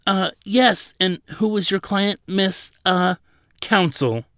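A man's voice: mid-range voice, animated, normal speed, vocal fry, no echo, studio quality. A man voicing a slight, timid male desk attendant in an audiobook, with a nervous, hesitant, apologetic way of talking. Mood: nervous, flustered.

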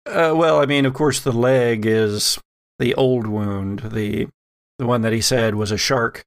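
A man acting as game master of a tabletop horror game, speaking with deliberate, suspenseful phrasing. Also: medium pitch, clean tone. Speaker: game master who also plays a character.

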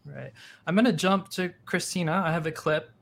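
A man's voice: a little high, normal speed, creaky, a bit bright, a little hiss or hum. A man hosting a casual panel interview and putting questions to guests. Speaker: interview host, show creator.

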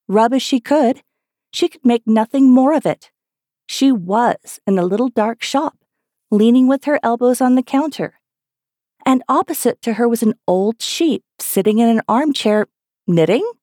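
A woman's voice: fairly high pitch, a little mellow, no room sound, clean studio sound. A woman reading a children's story aloud as an audiobook narrator. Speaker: audiobook reader.

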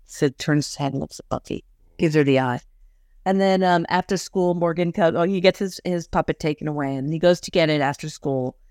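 A woman's voice: deep voice, a little fast, a little mellow, dry recording, studio quality. A woman co-hosting a podcast, chatting casually and recapping a TV episode, laughing often and acting out scenes. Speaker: podcast co-host.

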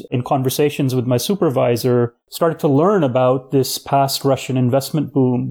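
A man born in Pakistan, a guest on an interview show, explaining history at a measured pace with occasional fillers. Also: medium pitch, creaky, mellow. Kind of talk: podcast interview answers.

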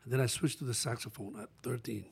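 A man's voice: medium pitch, quick pace, vocal fry, breathy edge. Older dominican-born guy, chill short answers, interview vibe. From Dominican Republic. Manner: relaxed, plain-spoken, short answers. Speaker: guest musician. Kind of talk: casual interview.

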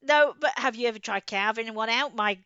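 Female co-host, effusive informal book chat, mid-pitched, dry but slightly muffled recording. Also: a little fast, bright, forceful tone, clean tone, dry recording. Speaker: podcast co-host.

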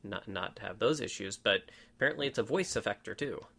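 Bright-voiced male podcast host. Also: lowish voice, lively intonation, brisk, gravelly. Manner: upbeat, chatty, laughs easily. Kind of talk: casual podcast chat.

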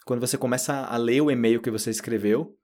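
A Brazilian man with a Brazilian Portuguese accent, giving a relaxed, chatty podcast lesson and addressing learners directly. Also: medium pitch, fast talker. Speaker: Portuguese teacher. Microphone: solo audio recording.

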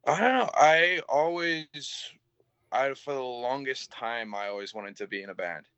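A young man with a mix of English and Canadian accent, a band vocalist chatting as an interview guest in a halting way, full of fillers and casual swearing, on slightly dull lo-fi audio. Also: mid-range voice, a bit bright, clean tone, dry recording.

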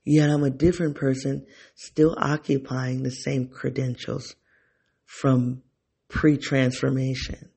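A man's voice: mid-range voice, flat delivery, slow, creaky, darkish tone, breathy edge. A man thinking aloud in a reflective solo monologue, often rephrasing himself and talking straight to his listeners. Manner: talks directly to listeners, informal.

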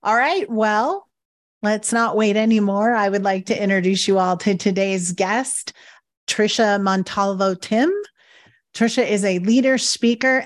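A woman with a mid-range voice hosts a podcast. She moves things along in a friendly way and welcomes the guest with an introduction. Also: mid-range voice. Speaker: podcast host. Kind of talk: interview host introduction.

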